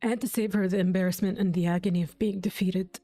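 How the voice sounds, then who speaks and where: A woman streaming a game reacts in a casual, sweary way at an average pitch. She thinks aloud and sounds deeply invested in the story, with soft music playing behind her. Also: steady tone, fast, dry recording. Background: faint game music.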